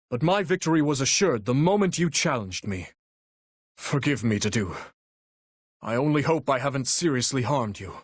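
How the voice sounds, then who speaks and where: An actor playing an earnest, courteous young warrior prince in a video game, speaking formally and resolutely. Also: male voice, medium pitch, slightly rough, no echo, studio quality. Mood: stern, regretful. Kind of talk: video game battle voice lines.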